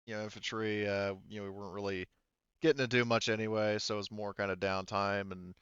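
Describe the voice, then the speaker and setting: A man's voice: lowish voice, flat delivery, fairly quick, fairly bright tone, clear, resonant tone, no echo, natural voice. A man playing a chatty, upbeat female infantry soldier in a tabletop roleplay, rambling and hesitant, on slightly muffled audio. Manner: rambling, hesitant, filler-heavy.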